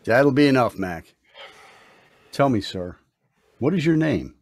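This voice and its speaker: An actor playing a kindly, serene doctor in an old-time radio drama, speaking in an earnest, formal, uplifting way. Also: male voice, medium pitch, animated, measured pace, no echo, studio quality. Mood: encouraging. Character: kindly, serene, mysterious male hospital doctor.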